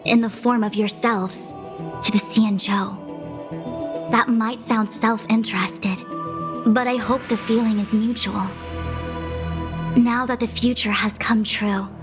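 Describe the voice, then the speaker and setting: Actress as a composed, weary diviner official; formal, measured, a bit put-upon; game music under. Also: female voice, on the high side, natural voice. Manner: measured, formal and slightly exasperated. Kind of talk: game cutscene voice acting.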